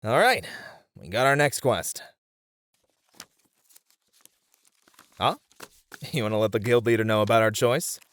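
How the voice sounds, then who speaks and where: A man's voice: lowish voice, expressive, normal speed, a bit bright, dry recording, clean studio sound. A young man playing a confident, snarky warrior in a fantasy roleplay audio drama, reasoning and teasing a rival dryly. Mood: agreeable, planning.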